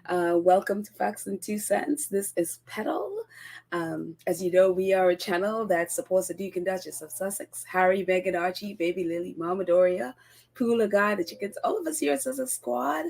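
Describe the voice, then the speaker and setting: A woman hosting a casual solo podcast, her voice croaky and congested from a cold, chatty with frequent laughs and ums. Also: mid-range voice, expressive, moderate pace, a little mellow. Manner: chatty, giggly, lots of ums. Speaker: podcast host.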